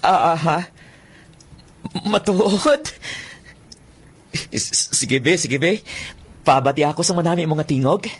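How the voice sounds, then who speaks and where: A man's voice: fairly high pitch, lively intonation, slow, pauses often, slightly rough, heavily acted. An actor playing a lovestruck young man, stammering and exclaiming, in a Filipino-accented radio drama. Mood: flustered, smitten. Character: lovestruck, flustered young man wandering the forest. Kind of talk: Philippine radio drama acting. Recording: faint music behind the voice.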